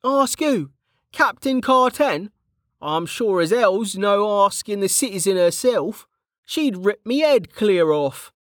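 A cocky, laughing guard who speaks in rough slang, pitched well above the narrator's own voice, as a narrator puts him on. He talks slowly and with swinging, lively pitch. The recording is dry and close. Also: dry recording. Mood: brash. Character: rough, cheeky male guard turned novice mage.

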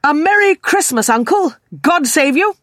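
A woman voicing a warm-hearted, cheerful young man in a storybook, speaking in a hearty, earnest, pleading way. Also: sits high, sing-song, normal speed, no echo, clean studio sound, exaggerated voice.

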